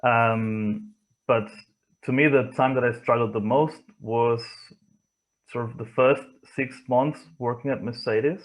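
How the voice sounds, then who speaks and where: A man from Spain with a Spanish accent, giving reflective interview answers in a thoughtful, hesitant way with frequent pauses. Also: mid-range voice, steady tone, low-quality recording. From Barcelona area, Spain. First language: not English, from Spain. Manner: hesitant, thoughtful, pausing often. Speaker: interview guest, motorsport engineer.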